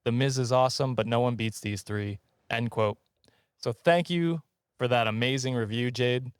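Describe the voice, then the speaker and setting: A man's voice: medium pitch, normal speed, smooth, dry recording. Casual man hosting a podcast, dry studio sound. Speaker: podcast host.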